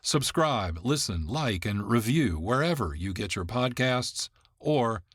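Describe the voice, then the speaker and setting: A man who is the producer and host of a radio program, giving measured, informative narration from a script. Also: medium pitch, sing-song, a bit bright, husky, dry recording, studio quality.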